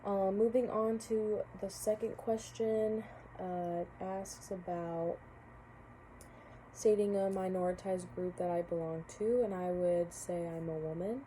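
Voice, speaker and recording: A woman's voice: mid-range voice, steady tone, a little slow, dark tone, a little hiss or hum, poor audio. A young woman, a college student, giving a casual spoken class reflection, hesitant with many fillers and restarts. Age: young adult.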